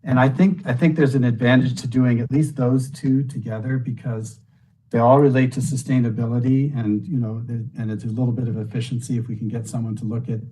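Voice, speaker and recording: A hoarse man, a county commissioner, making a measured, reasoned case at a public meeting. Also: mid-range voice, little pitch movement, dark tone, a little hiss or hum, rough recording. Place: meeting room.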